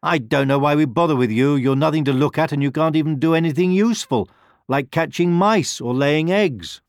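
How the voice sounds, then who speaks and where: A man's voice: on the high side, fairly quick, no echo, clean studio sound, voice pushed up, close to an everyday voice. A man voicing a jeering, disdainful cat in a children's storybook reading. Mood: jeering, scornful. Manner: sneering, disdainful. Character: jeering, scornful farmyard cat.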